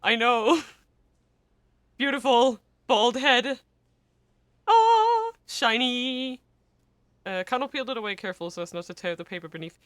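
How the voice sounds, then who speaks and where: Bright, giggly streamer woman. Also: measured pace, pauses often, no room sound, clean studio sound. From Denmark. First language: Danish. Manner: giggly and excitable, with sudden high-pitched squeals. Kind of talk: reading a visual novel aloud and chatting with viewers.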